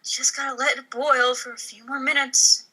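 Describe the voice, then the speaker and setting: A woman speaks casually as a guest at an online table read. Her voice sits high and is very sharp and bright. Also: flat delivery, moderate pace, poor audio. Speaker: podcast guest reading a script. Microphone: online voice call.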